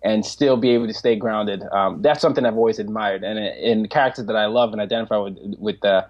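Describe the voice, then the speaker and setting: A man in his twenties, a podcast guest, talking in an animated way, laughing often and restarting his sentences. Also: on the low side, quick pace, muffled recording. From Nigeria. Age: 20s. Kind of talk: casual interview chat.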